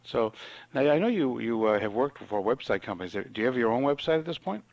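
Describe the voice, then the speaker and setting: A man's voice: mid-range voice, animated, fast talker, no echo. A man hosting a radio interview, asking questions with many hesitant fillers. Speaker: radio host. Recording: dull lo-fi broadcast sound.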